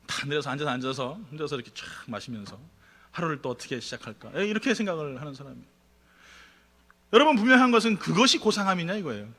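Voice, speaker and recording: A man preaching a Sunday sermon as pastor, animated, swinging between emphatic peaks and quiet asides. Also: on the high side, fast talker, in a large hall. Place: church sanctuary.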